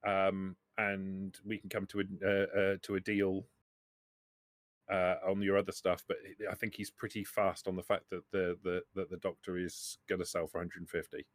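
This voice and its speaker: A man running a tabletop roleplaying game as game master, narrating off the cuff in a halting, deliberate way. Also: deep voice, monotone, no echo.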